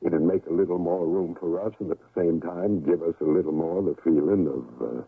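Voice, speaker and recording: Casting: smitten older prospector, American, low rough voice, folksy drawl, easygoing; tinny vintage radio drama. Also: male voice, fairly quick, heavily acted. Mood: tender, hopeful. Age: middle-aged. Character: easygoing, smitten older gold miner husband. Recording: narrow-band old radio recording.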